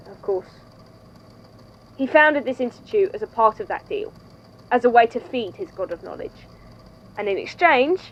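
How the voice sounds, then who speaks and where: A woman playing a composed, wry institute head in a scripted audio drama, speaking in a measured, conversational way. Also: a little high, highs cut off. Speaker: podfic voice actor, institute head narrating a statement.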